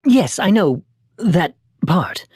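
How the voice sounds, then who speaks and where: A man playing a troubled, gallant young knight in a video game, earnest and formal, with a husky, breathy, halting delivery. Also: high-pitched, sing-song, a little mellow, dry recording, studio quality, heavily acted. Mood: questioning.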